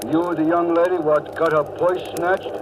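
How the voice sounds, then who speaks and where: A noisy vintage radio recording features a man acting a folksy fat city fellow. His voice sits somewhat high, and he chats in a slangy, familiar, slightly hesitant way. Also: flat delivery, normal speed, close to an everyday voice. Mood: friendly, nosy. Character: chatty, nosy, folksy fat man on subway. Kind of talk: 1940s radio drama acting.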